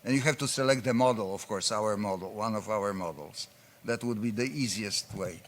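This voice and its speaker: Man explaining calmly with fillers. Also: lowish voice, moderate pace, a little hiss or hum. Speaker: presenter answering audience questions. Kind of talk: conference Q&A talk.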